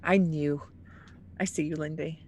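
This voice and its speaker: A woman's voice: sits low, steady tone, unhurried, over a noisy crowd. A woman playing a tabletop roleplaying game, bantering casually with dry, sweary teasing, faint noise in the background.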